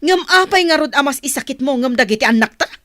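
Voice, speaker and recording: Woman acting harsh, high-voiced domineering mother. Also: animated, quick pace, sharp tone, dry recording, studio quality. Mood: resentful. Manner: scolding, commanding. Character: harsh, domineering mother who resents her adopted son. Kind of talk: Ilocano radio drama acting.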